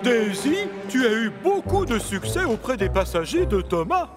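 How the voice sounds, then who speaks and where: High-pitched, pompous railway boss. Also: male voice, sing-song, normal speed, music in the background. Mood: pleased, official. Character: pompous but kindly male railway controller in charge. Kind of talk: French children's cartoon dub.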